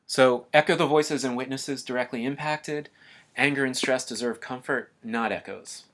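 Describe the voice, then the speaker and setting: A man with a mid-range voice narrates an instructional video in an even, measured way. He explains each point earnestly, like a scripted lesson read from notes. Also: medium pitch.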